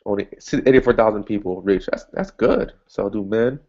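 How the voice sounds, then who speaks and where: A man's voice: lowish voice, fairly quick, darkish tone, lo-fi sound. A man casually walking through an online ad setup, thinking aloud as he clicks, with frequent restarts and upbeat asides. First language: English. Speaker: online marketing instructor. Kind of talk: casual screen-share tutorial.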